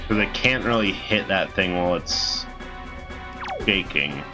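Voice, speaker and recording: Deep-voiced man streaming a game. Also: animated, a little slow, music in the background. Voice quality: deep voice suited to villains. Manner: rambling, self-interrupting, laughs at himself. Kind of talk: casual gameplay commentary.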